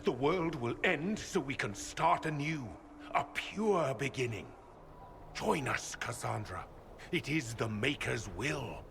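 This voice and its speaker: A man playing a fanatical leader of a knightly order in a fantasy game, preaching doom in a solemn, deliberate way. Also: mid-range voice, lively intonation, creaky, fairly bright tone, a little hiss or hum, heavily acted. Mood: solemn conviction. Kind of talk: dramatic fantasy game acting.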